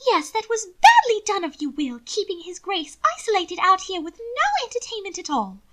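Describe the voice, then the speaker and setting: A woman's voice: very high voice, sing-song, moderate pace, a bit bright, pitched above the performer's own voice, exaggerated voice. A woman voicing a vain, affected young aristocratic lady in a period audiobook, with prim, theatrical diction. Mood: prim scolding. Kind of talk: audiobook character voice. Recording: occasional static crackle from microphone.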